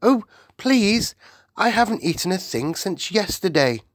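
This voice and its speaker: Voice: medium pitch, sing-song, unhurried, a bit bright, no room sound, studio quality, voice pushed up. A storybook reader voicing a polite, eager little girl. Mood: eager, pleading.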